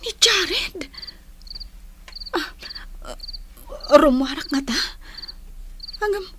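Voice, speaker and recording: A woman's voice: extremely high pitch, expressive, extremely slow, background noise, full character voice. A woman playing a plaintive young bride unhappy with an arranged marriage, with an Ilocano accent, in a comedy drama. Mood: startled, hushed. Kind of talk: Filipino comedy-drama dialogue.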